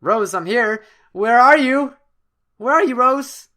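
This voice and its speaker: A man voicing an excitable, defensive and easily spooked young woman realtor in a horror game. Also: very high voice, slow pace, pitched above the performer's own voice, exaggerated voice. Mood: calling out, anxious.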